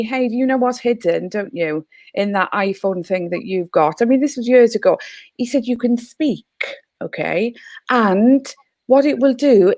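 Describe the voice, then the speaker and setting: A woman's voice: on the high side, no room sound. Earnest Welsh woman, dry close sound. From Wales. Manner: earnest, heartfelt. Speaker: teacher and guest speaker. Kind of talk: online panel talk. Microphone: video call.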